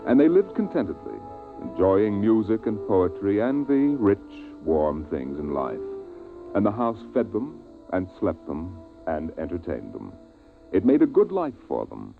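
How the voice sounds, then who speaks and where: An actor narrating a 1950s science-fiction radio drama as a solemn storyteller, with measured phrasing and weighty pauses. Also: male voice, deep voice, wildly swooping pitch, gravelly, heavily acted. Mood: solemn, dramatic. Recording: tinny vintage radio recording, music behind.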